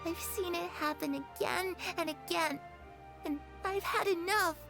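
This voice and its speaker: Young girl, game character, very high voice, soft and confiding, wistful, faint music behind. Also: unhurried, full character voice. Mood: bitter. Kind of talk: game cutscene voice acting.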